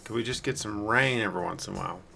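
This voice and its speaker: A man with a raspy, hoarse voice giving rambling, self-deprecating casual commentary as a gameplay video host. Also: fairly low pitch, rapid-fire, sharp tone, faint music behind. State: hoarse from singing the night before.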